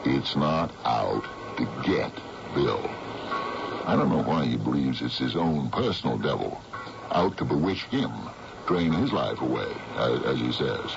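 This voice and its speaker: An actor playing an older, folksy uncle in a radio drama, with a gravelly voice and a halting, reflective manner. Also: male voice, extremely low pitch, very animated, full character voice. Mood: confiding, concerned. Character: calm, folksy older uncle who sails. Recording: dull, noisy vintage radio recording.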